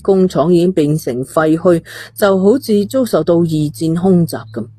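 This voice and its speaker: A man's voice: fairly high pitch, moderate pace, dark tone, slightly rough, no echo. A man with a Hong Kong Cantonese accent narrating a suspense novel in a storytelling style. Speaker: audiobook narrator.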